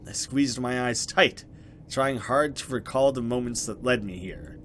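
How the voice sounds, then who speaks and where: A man's voice: mid-range voice, unhurried, a bit bright, a little hiss or hum. A man hosting a let's play, reading horror narration dramatically and adding casual commentary.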